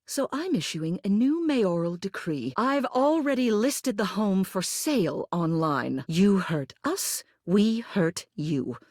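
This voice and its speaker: A woman's voice: medium pitch, no room sound, studio quality. A haughty, theatrical lady mayor. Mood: indignant, imperious, then cold, menacing. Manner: pompous, grand, formal, with affected endearments. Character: haughty, theatrical older lady mayor, a living household object. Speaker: voice actor in a video game. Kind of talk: scripted video game character lines.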